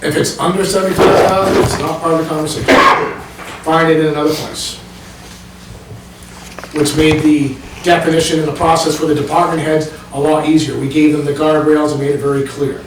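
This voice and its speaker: Brief: male presenter at town meeting, slightly high voice, distant mic in a very echoey room with background noise. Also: little pitch movement, fairly quick. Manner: explanatory, walks listeners through pages, frequent ums. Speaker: planning board member presenting.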